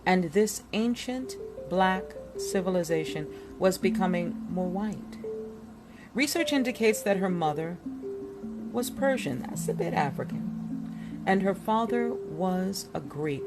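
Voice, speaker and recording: A woman with a slightly low voice reads aloud at a very slow, deliberate pace. Her delivery is expressive and dramatic, in the style of a narrated book presentation. Music plays clearly behind her. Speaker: reader presenting a book chapter.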